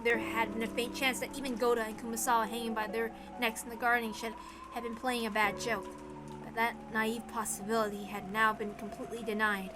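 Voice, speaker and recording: A woman with a fairly high voice reads a dramatic story aloud. Her narration is expressive and deliberate, with a theatrical touch. Music plays clearly behind her. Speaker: reader of a visual novel. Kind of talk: dramatic scripted narration with acted dialogue. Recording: background music under the reading.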